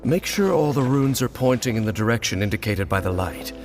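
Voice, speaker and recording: An actor playing a grave, mysterious male wanderer in a video game, giving measured, commanding orders. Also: expressive, lightly acted. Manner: measured, commanding, low-key delivery. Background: soft game music.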